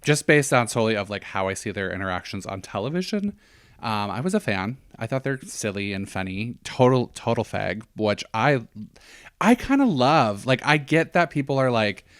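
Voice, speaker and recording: A man's voice: mid-range voice, sing-song, moderate pace, clear, resonant tone, no echo, clean studio sound. A man with a slight lisp, co-hosting a podcast in casual chat, rambling with lots of fillers. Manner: chatty, rambling with many fillers. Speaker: podcast co-host; professional hair stylist.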